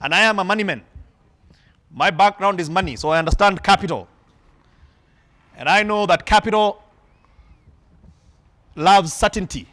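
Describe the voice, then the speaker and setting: A man delivers a keynote from the podium, his voice sitting a little high for a man. He speaks in measured, emphatic phrases and leaves pauses between them, heard through a podium mic in a large hall. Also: pushed and bright. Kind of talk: formal keynote address.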